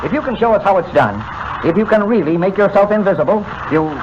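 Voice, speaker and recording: An actor playing a gruff, irritable newspaper editor in a brusque, blustering 1940s radio style. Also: male voice, high voice, lively intonation, quick pace. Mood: flustered. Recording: muffled, very noisy old radio recording.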